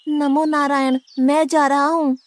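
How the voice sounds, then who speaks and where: Woman voicing a high-pitched, stubborn village lad. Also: little pitch movement, a little slow. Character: naive, big-eating, stubborn young village lad Adhaiya. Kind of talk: animated moral story dialogue in Hindi.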